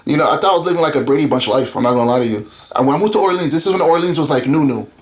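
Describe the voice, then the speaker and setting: A man's voice: on the high side, very fast. Candid rambling man in his thirties. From Florida. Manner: rambling, candid, filler-heavy. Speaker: guest entrepreneur. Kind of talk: casual interview chat.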